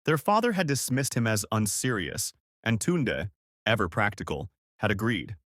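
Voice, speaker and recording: A man's voice: fairly low pitch, sing-song, moderate pace, a bit bright, no room sound, clean studio sound. A man narrating a scripted story as a storyteller.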